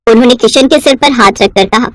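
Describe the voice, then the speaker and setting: A woman's voice: fairly high pitch, brisk, dry recording. A woman narrating a scripted children's story expressively, as a storyteller.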